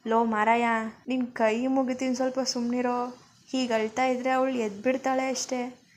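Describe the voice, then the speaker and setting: A woman voicing a fond, flustered young father who mutters quickly and fretfully while trying to calm his baby. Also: a little high, slight background noise, natural voice. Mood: pleading.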